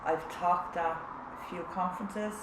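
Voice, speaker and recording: A woman's voice: on the low side, steady tone, unhurried. A woman and mother of three, a peer support worker, chatting casually about her project work. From Ireland. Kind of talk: recorded testimony. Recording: echoey room with clear background noise.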